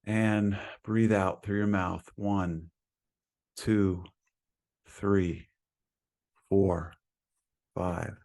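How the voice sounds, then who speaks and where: A man leading a guided meditation as a teacher, speaking in a calm, soothing manner with long pauses between phrases. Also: deep voice, vocal fry.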